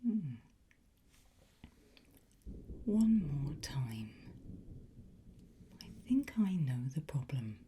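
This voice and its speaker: Woman doing whispery asmr, low soft mellow voice, super slow, sly demon teacher coaxing you along. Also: big pitch swings, slight background noise. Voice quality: breathy, mostly whispered. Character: sly, patient demonic Latin teacher, softly menacing. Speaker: ASMR roleplay performer playing a teacher.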